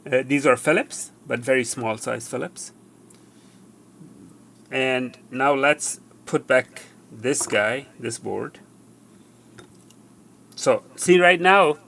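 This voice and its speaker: Creaky-voiced man giving a repair demo. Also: mid-range voice, a bit bright. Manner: halting, step-by-step, repeats himself. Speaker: repair tutorial presenter. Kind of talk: hands-on how-to demonstration. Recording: faint background noise.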